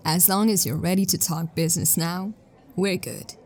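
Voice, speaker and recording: A woman's voice: on the low side, steady tone, normal speed, background noise, close to an everyday voice. A woman playing an impatient, businesslike detective with a dry, curt manner in a sci-fi audio drama. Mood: dryly annoyed.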